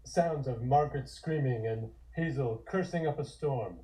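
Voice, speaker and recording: A man's voice: mid-range voice, normal speed, dark tone, rough recording. A man reading scripted scene narration for a comic table read.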